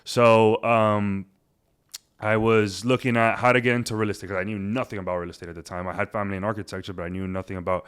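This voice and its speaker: A man in his twenties, born in Cuba, talking casually as an interview guest with a relaxed, rambling manner and many fillers. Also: on the low side, quick pace, clear, resonant tone. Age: 20s. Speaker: guest, realtor.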